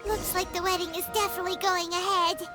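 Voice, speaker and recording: A woman voicing a tiny, childlike fairy companion in a video game, squeaky, excitable and naive. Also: quick pace, over music. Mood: concerned. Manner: squeaky, refers to herself in third person.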